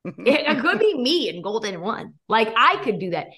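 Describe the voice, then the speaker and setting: A young woman guest with a hoarse, worn voice, talking sports and betting in a quick, energetic, opinionated way. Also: fairly high pitch. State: losing her voice. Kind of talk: casual sports chat.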